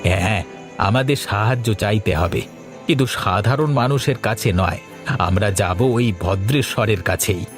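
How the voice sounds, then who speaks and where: Earnest, worried village man played by a male actor for horror cartoon: fairly low, explanatory delivery over clear music. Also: normal speed, lightly acted. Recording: loud music behind the voice.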